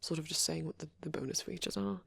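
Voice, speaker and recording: Man, light high-pitched register for a male, husky breathy half-whisper, creaky edges, shy hesitant ASMR boyfriend roleplay. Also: fairly even intonation, fast talker, no room sound. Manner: shy, hesitant, apologetic rambling. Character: shy, gentle young male vampire boyfriend on a date. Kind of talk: soft-spoken ASMR roleplay rambling, often whispered.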